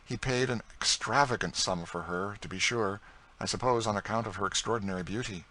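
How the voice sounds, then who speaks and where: A man voicing a good-hearted, impulsive young gentleman from Kentucky in a storybook, frank, open and assured in manner. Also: lowish voice, fairly quick, rough recording. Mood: informative.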